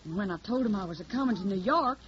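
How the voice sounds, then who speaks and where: A woman's voice: medium pitch, lively intonation, fast, slight background noise, lightly acted. A woman in an old radio drama playing a young woman who poses as a naive country girl from Tennessee, with a folksy Southern drawl and a feisty, plain-spoken manner. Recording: dull lo-fi old radio recording.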